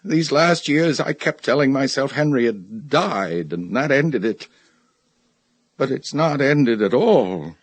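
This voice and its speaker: An actor playing a tormented middle-aged husband in a radio drama, confessing in a halting, heavy way. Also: male voice, mid-range voice, lively intonation, dry recording. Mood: grim confession. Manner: halting, heavy, agonized delivery.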